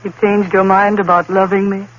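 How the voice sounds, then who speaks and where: A woman's voice: medium pitch, little pitch movement, normal speed, close to an everyday voice. An actress playing a worried, devoted young woman in a 1940s-style radio play, her manner pleading and affectionate. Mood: concerned. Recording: dull old radio broadcast with faint hiss.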